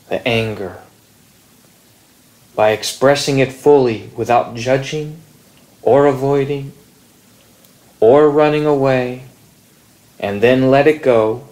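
A man reading a contemplative spiritual text in a measured, deliberate manner with long pauses. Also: mid-range voice, darkish tone, rough recording. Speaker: reader at a Zen meditation group.

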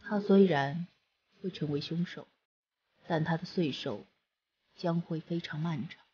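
Stern young male immortal, read by a woman, low and measured. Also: mellow, highs cut off, a little hiss or hum. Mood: grave.

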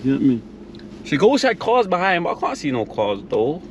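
High-voiced young British man in noisy car. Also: wildly swooping pitch, a little fast. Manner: slangy, informal. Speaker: prankster vlogger. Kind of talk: casual chat and drive-through prank. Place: inside a car at drive-throughs. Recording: noisy car interior, engine and outdoor hum.

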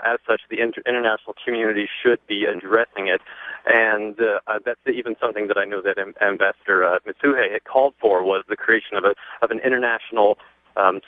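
A man calling in to a radio show, speaking earnestly and formally, articulate but halting, over the phone. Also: fairly low pitch, no echo. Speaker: radio call-in listener. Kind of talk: prepared, formal call-in remarks. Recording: narrow phone line, dry.

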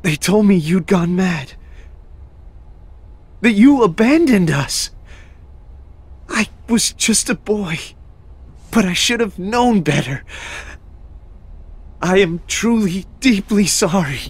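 Young game scholar: breathy, soft, slow. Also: male voice, high voice, animated, no echo, exaggerated voice. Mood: remorseful. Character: earnest young male researcher. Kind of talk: video game voice acting.

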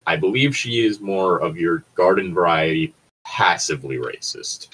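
Male voice, fairly low pitch, casual chat, opinionated, argumentative, sweary. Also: moderate pace, fairly bright tone, husky, low-quality recording. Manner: profane, argumentative.

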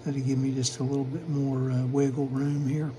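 An older man giving a casual, matter-of-fact, halting narration of hands-on repair work at a workbench. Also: medium pitch, level tone, dark tone, slight background noise. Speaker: vintage radio repair hobbyist, channel host.

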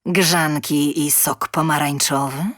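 A woman reads the part of a courteous gentleman in an audio story. He speaks in a lowered, quiet voice, formal and measured, with calm reserve. The sound is dry and close. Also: dry recording. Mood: polite, composed. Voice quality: low timbre. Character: gentlemanly calm man, polite, reserved stranger. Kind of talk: audio short story dialogue.

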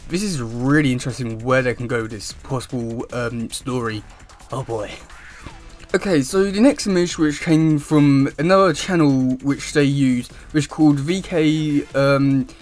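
Higher-voiced young man, halting casual talk. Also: darkish tone. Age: young adult. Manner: halting, hesitant, wordy delivery. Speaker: YouTube host reviewing comic news. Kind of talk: casual chat to camera. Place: small room indoors at home.

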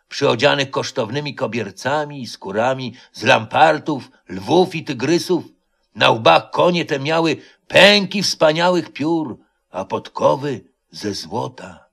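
Slow, gravelly male storyteller. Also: medium pitch, fairly bright tone. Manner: solemn, deliberate. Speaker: storyteller, reader. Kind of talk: dramatic literary narration of a legend, internet radio.

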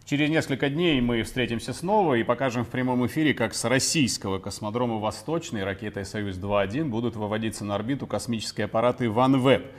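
A man's voice: medium pitch, quick pace, hardly any pauses. Middle-aged man presenting a broadcast. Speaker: broadcast co-host. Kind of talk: scripted live broadcast presenting. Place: TV studio. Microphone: lapel mic.

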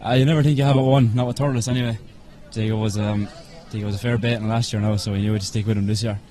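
A teenage man with an Irish accent, a school hurler speaking excitedly and casually into a handheld mic outdoors on a stadium pitch. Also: racing. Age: teens. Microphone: interviewer's handheld mic.

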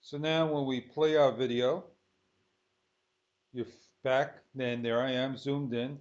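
Male tutorial host, unhurried and halting, thinking aloud step by step; muffled, low-fidelity audio. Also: fairly even intonation. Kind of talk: unscripted screen-recorded tutorial. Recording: dull lo-fi sound.

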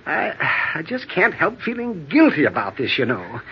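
A man's voice: fairly high pitch, wildly swooping pitch, normal speed. An actor playing a nervous, self-conscious businessman, hesitant and flustered, in a 1950s radio drama. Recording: muffled, noisy old-time radio recording.

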